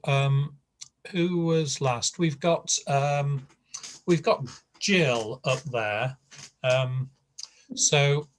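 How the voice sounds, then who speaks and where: Slow, hesitant man teaching. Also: on the high side, fairly bright tone. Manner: slow, hesitant, filler-heavy. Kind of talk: online class.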